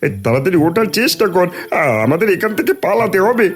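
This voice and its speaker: A man's voice: sits high, animated, fast. A man playing a bossy, sneaky village thief in a fairy-tale cartoon, urgent and conspiratorial. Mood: nervous, urgent.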